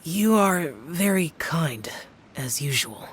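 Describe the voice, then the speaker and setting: An actress playing a calm, aloof young woman who speaks softly and composedly, with game music behind. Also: low-pitched, background noise. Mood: quiet. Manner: soft, hushed, slow and composed.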